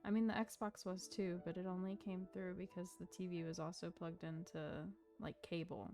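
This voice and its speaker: A woman's voice: fairly low pitch, little pitch movement, normal speed, darkish tone, faint music behind. A woman giving casual live commentary as she streams a video game.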